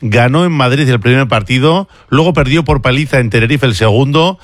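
A male sports radio host runs through results and listener messages in a brisk, conversational way. His mid-range voice sits close on a dry studio mic. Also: mid-range voice, no room sound. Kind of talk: radio sports news presenting.

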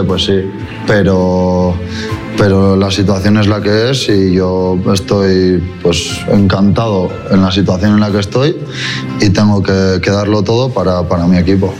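Young male footballer, low voice, hesitant earnest answers, clear music underneath. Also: steady tone, unhurried. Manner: hesitant, restarting phrases, earnest. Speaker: football goalkeeper. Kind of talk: interview answers.

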